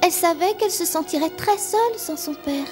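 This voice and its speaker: A woman playing a gentle, dreamy young schoolgirl in a French cartoon dub, speaking earnestly. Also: very high-pitched, fairly quick. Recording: music playing behind the voice.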